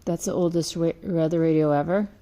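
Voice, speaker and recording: A woman's voice: low-pitched, fairly even intonation, a little fast, mellow. A woman chatting casually as she helps out. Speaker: off-hand helper. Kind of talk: casual chat. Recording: noisy home recording, often off-mic.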